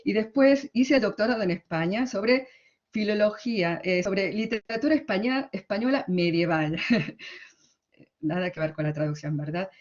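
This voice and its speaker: A woman's voice: mid-range voice, normal speed, a little mellow. Woman with an Argentine accent, conference speaker, reflective and conversational, with a muffled lo-fi sound. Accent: Argentine Spanish. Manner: reflective, frequent tag questions. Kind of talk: conference talk.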